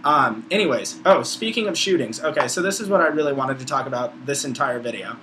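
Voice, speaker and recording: Male, about 20, American accent, informal college vlogger, opinionated and profane, bright forceful voice, slightly noisy recording. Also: mid-range voice, a little fast. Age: around 20. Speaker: video blogger, college student. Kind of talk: casual opinionated vlog monologue.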